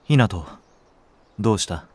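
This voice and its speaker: A man's voice: on the low side, lively intonation, darkish tone. An actor playing a blunt, weary, grumpy male nursery teacher with a curt manner, in an anime-style audio drama. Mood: curt, exasperated. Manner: curt, unhurried.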